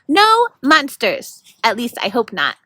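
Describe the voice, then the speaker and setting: Woman doing a lively storybook read, high voice that swoops around, pretty dry sound. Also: takes it slowly, no echo. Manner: animated, acts out the narration. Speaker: volunteer reader of a children's novel. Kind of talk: expressive storybook read-aloud.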